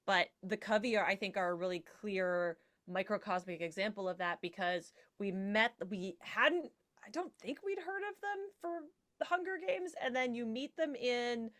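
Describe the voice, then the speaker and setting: A woman with a mid-pitched voice talks as a guest on a podcast panel. Her delivery is reflective and informal, working through ideas in a conversational way. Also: normal speed, a bit bright. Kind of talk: casual panel discussion.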